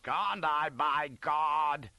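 A man voicing a fat old British cavalry general, loud, pompous, impetuous and scatterbrained, in an audiobook. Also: high voice, expressive, measured pace, dry recording, voice pushed up, full character voice. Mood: rousing, exuberant. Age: older. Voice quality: loud, bawling. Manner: pompous, scatterbrained, clipped upper-class officer bluster. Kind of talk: acted character in an audiobook.

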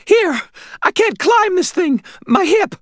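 A man's voice: extremely high pitch, sing-song, slow, dry recording, studio quality, voice pushed up, exaggerated voice. A man voicing an elderly landlady in an audiobook, a frightened, devout and grateful woman with a quavering voice and fervent exclamations. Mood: urgent, pained.

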